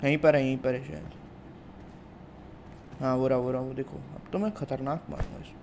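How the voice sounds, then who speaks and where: A man's voice: mid-range voice, fairly even intonation, normal speed, noisy background. A young man with an Indian accent giving casual, chatty commentary while playing a mobile shooter, talking to his viewers. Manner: chatty, addresses viewers as guys and brother. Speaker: mobile game streamer.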